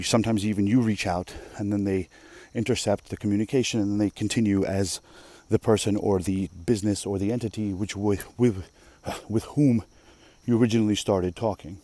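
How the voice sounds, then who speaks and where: Mellow-voiced man ranting, Russian native speaker. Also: fairly low pitch, dry recording. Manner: emphatic, profane, rambling. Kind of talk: casual rant monologue.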